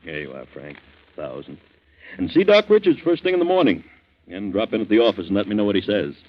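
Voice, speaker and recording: An actor playing a generous, resigned middle-aged family man in a 1940s radio drama, unhurried and paternal, on a muffled old recording. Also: fairly low pitch, big pitch swings. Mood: fatherly.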